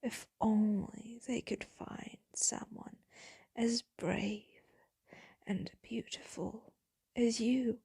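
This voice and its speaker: An ageless female vampire, acted by a woman, murmurs tenderly to a beloved in an ASMR roleplay. The voice is mostly whispered and very breathy, drifting slowly with melancholy devotion. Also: fairly high pitch, steady tone, dark tone, dry recording, exaggerated voice. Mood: hushed, reminiscing. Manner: slow, intimate, tender, breathy delivery.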